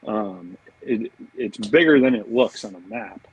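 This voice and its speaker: A man's voice: on the low side, expressive, a little slow, breathy edge, no room sound, rough recording. A man chatting casually and telling informal stories as a podcast guest. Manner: informal, anecdotal, peppered with "man" and "you know". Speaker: podcast guest, fishing marketing director. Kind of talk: casual chat.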